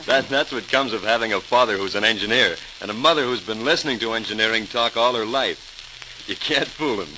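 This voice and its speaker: Low-voiced, earnest young Navy lieutenant. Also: male voice, fairly quick, pushed and bright. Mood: cheerful, earnest. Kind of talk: 1940s radio acting. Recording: hissy, crackling 1940s radio recording.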